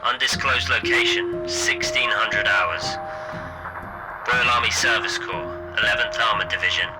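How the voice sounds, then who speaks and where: Man acting a clipped military radio announcer, loud music. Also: mid-range voice, a little slow, close to an everyday voice, rough recording. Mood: terse, official. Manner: clipped, staccato, official. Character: clipped, formal British military radio operator reporting. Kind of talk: terse wartime radio report announcements.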